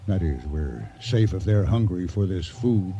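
An actor playing a calm, commanding seafaring schemer, terse and smoothly courteous with quiet menace, in a radio drama. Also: male voice, sits low, unhurried, highs cut off. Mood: calm, reassuring. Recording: radio drama with faint background noise.

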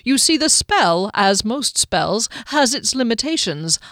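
A smug, courtly halfling man from a fantasy audiobook, acted out by a woman. The voice sits high and is delivered close and dry. Every phrase is ornate, archaic and grandly polite. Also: sing-song, a little slow, fairly bright tone, no room sound. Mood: smug, theatrical. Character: smug, courtly, flowery-tongued male halfling trickster.

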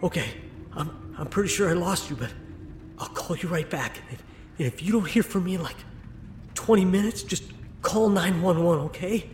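A man's voice: high-pitched, moderate pace, slight background noise, close to an everyday voice. A man playing a nervous, good-hearted young delivery guy in an audio drama, hesitant and stammering. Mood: worried. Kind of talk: naturalistic audio drama acting.

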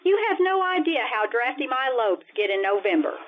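A woman's voice: fairly high pitch, sing-song, moderate pace, noisy background. A woman playing a wry, wisecracking schoolteacher with a dry, sardonic delivery in an old-time radio sitcom. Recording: tinny, band-limited radio-style recording.

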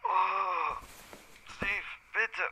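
A man's voice: high voice, wildly swooping pitch, drawn-out words, creaky, bright, forceful tone, slightly rough, no echo, full character voice, rough recording. A man playing a hungover, sardonic police inspector in a comedic audio drama, groaning and curt. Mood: hungover, irritated. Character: hungover, irritable, hard-drinking male police inspector, sardonic.

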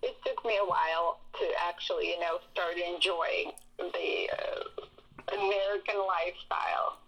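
A woman from Russia with a Russian accent, a guest telling animated stories with laughs in a casual interview. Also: lowish voice, unhurried, vocal fry, slightly rough, muffled recording. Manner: animated storytelling with frequent laughs.

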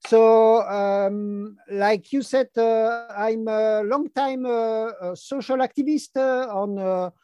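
A man in his fifties with a French-speaking Belgian accent talks through an interview in a mellow, low-key voice. He stops and restarts often, leaning on hesitation sounds. The recording is dry, with no room around it. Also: fairly even intonation, smooth, dry recording, muffled recording. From Brussels, Belgium. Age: 50s. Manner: halting, frequent hesitation fillers. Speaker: guest, longevity activist. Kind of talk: casual interview talk.

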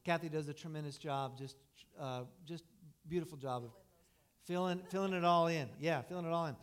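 A man's voice: on the high side, fairly quick, clear, resonant tone. A man hosting an informal church interview, speaking affirmingly to a congregation and often repeating or restarting his phrases. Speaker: church host interviewing guests.

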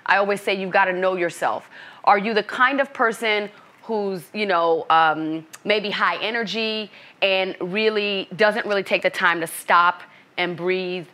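A middle-aged woman from Brooklyn, a coach being interviewed, speaking in a thoughtful, measured way. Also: medium pitch, bright, forceful tone. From Brooklyn, New York. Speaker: coach and author. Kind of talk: interview conversation.

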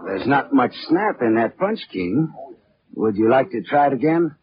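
An actor playing a tough, wry American private detective, deadpan and wisecracking, in hardboiled 1940s radio drama style. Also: male voice, mid-range voice, normal speed, close to an everyday voice. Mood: cool, mocking. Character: wry, tough male private detective narrating his case. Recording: muffled old radio broadcast with music.

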